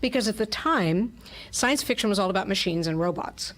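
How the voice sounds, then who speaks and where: A woman's voice: medium pitch, expressive, fairly quick, fairly bright tone. A woman with a mid-range voice talks casually as a guest in a radio studio. She explains things in a chatty, conversational way, as someone representing a theatre troupe. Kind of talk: casual interview talk.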